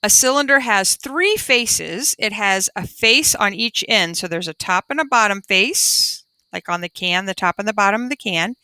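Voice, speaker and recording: A woman's voice: mid-range voice, animated, normal speed, hardly any pauses, bright, forceful tone, clean tone, dry recording. A woman teacher reading a children's book aloud and adding simple explanations for young pupils. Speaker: primary school teacher.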